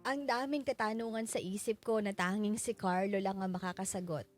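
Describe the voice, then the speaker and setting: A Filipino woman narrating a radio drama as a sorrowful bereaved young wife, in a measured, mournful way. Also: medium pitch, fairly even intonation, lightly acted. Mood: mournful, remorseful. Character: sorrowful bereaved wife recounting her loss. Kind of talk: scripted dramatic first-person narration. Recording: prominent music bed under narration. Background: music.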